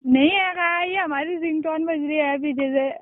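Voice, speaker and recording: Teasing high-voiced woman on a phone line. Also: normal speed. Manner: evasive, teasing, dismissive. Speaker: prank call receiver.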